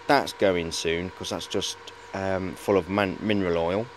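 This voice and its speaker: A man's voice: low voice, steady tone, measured pace. A man in his forties chatting casually and hesitantly as he shows equipment, rambling in a matey way, recorded on a handheld camera in a workshop. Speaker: hobbyist showing high-voltage equipment. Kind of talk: casual hands-on show-and-tell chat. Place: cluttered indoor workshop. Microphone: camera at arm's length. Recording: handheld camera, clear background noise.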